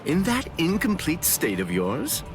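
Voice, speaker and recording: An actor playing a sly, mocking villain's lieutenant in an anime game dub, with a teasing, falsely casual drawl. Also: male voice, fairly high pitch, huge pitch swings, measured pace, over music, heavily acted, low-quality recording. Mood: taunting menace.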